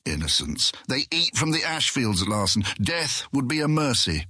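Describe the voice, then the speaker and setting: Excitable, bright-voiced fantasy guildmaster. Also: male voice, mid-range voice, moderate pace, husky, dry recording, clean studio sound, full character voice. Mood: scornful, indignant. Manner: theatrical, indignant, emphatic. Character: excitable, aggressive male guildmaster who hates thieves. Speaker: voice actor in audiobook. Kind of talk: full-cast fantasy audiobook acting.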